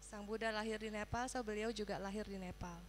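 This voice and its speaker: Quick-talking woman interpreting live. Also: mid-range voice, fairly even intonation. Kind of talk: live consecutive interpreting of a religious talk. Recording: faint electrical hum.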